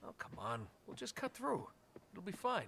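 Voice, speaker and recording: A man's voice: high voice, huge pitch swings, normal speed, vocal fry, bright, forceful tone, exaggerated voice. An actor playing a confident, wisecracking young male treasure hunter in an action video game. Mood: breezy. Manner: breezy, upbeat, confident. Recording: game audio with faint music.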